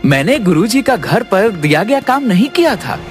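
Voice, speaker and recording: A woman's voice: mid-range voice, big pitch swings, moderate pace, lightly acted. Lady doing a sneaky cartoon kid brother, selfish little fibber, very up and down voice. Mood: self-justifying. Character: sly, self-serving young boy, smooth-talking fibber. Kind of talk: children's cartoon story. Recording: music behind the voice.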